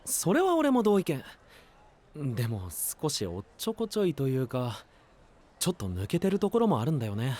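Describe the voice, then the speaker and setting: A man's voice: medium pitch, huge pitch swings, darkish tone. A man voicing a young male knight and diligent royal aide, boyish and exasperated, in an anime-style voice drama. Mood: fondly resigned. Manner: brisk, boyish, exasperated retorts.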